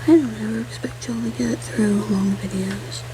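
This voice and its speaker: A woman whispering soft, rambling chat as she colors for an ASMR video, sniffling now and then from allergies. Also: on the high side, steady tone, normal speed, noisy background. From United States. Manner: soft-spoken, rambling, chatty aside. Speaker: ASMR creator.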